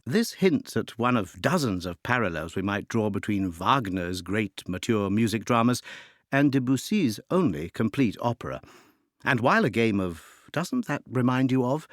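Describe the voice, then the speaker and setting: A man narrates educational material in a fairly low voice that moves widely and expressively in pitch. His delivery is scripted, articulate and expository, shaped with rhetorical phrasing. The sound is dry, with very little room around the voice. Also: lively intonation, normal speed, no echo. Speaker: narrator of a music introduction.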